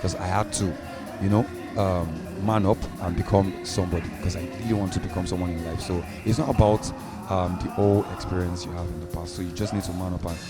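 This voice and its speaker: A Nigerian man speaks in a low voice, casually answering in an interview. He is reflective and a little halting. Loud music plays behind him. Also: fairly even intonation.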